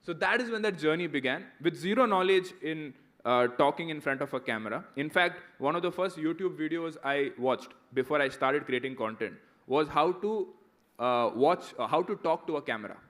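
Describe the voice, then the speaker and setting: A man's voice: a little high, animated, moderate pace, fairly bright tone. A man around thirty, a guest speaker answering student questions in a conversational way, often tagging "right?". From India. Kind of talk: motivational talk and Q&A.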